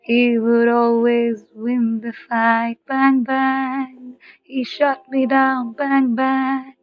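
A woman singing a ballad softly in a slow lullaby style. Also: sits high, little pitch movement, no room sound, muffled recording, soft background music.

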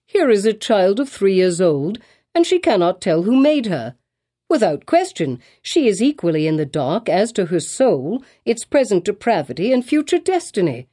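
A woman's voice: medium pitch, very animated, normal speed, mellow. A woman doing a stern old Puritan governor.

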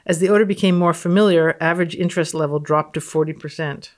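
A woman's voice: sits low, fairly even intonation, fast. A woman guest on a podcast, reading research aloud and commenting in a wry, matter-of-fact way. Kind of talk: reading research aloud with casual, unscripted reactions.

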